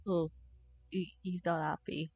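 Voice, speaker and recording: A woman's voice: on the low side, little pitch movement, a little slow, darkish tone, dull audio. A woman streaming a video game, giving casual, playful live commentary and reactions.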